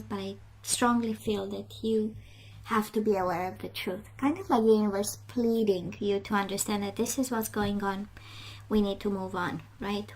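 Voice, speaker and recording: A woman giving a casual tarot love reading straight to the viewer, halting and reflective, repeating phrases for emphasis. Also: mid-range voice, a little mellow, a little hiss or hum, poor audio. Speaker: tarot reader.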